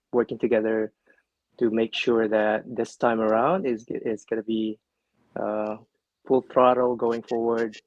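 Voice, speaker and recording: A man's voice: on the low side, darkish tone, no echo, highs cut off. A man from the Philippines, a retired Navy veteran, talking casually with many fillers and restarts. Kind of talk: casual livestream chat.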